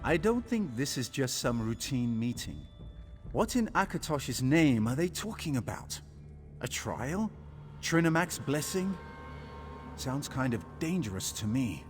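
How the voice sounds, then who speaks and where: A man playing an earnest young adventurer eager to prove himself in a fantasy video game, speaking deliberately. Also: medium pitch, big pitch swings. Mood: uneasy. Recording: long reverb, faint background music.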